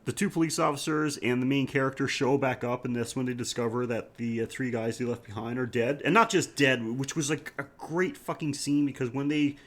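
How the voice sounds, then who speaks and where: A man's voice: mid-range voice, steady tone, a little fast, few pauses. A man co-hosting a podcast, discussing film casually with emphatic, frequent swearing. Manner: profane, emphatic. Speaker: podcast co-host.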